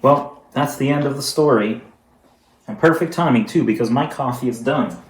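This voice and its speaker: A man, a teacher, reading a children's picture book aloud with slow, deliberate storytelling. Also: mid-range voice, slight background noise. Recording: roomy room with background music.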